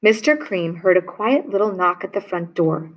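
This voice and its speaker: A woman reading a children's picture book aloud in a lively, expressive way. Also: mid-range voice, moderate pace, low-quality recording. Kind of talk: read-aloud storybook narration.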